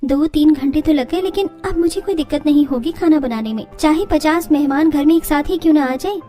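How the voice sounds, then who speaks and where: Woman voicing a high-voiced confident young daughter-in-law. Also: brisk, dark tone, soft background music, close to an everyday voice. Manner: polite, confident. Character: clever, self-satisfied young daughter-in-law in family story.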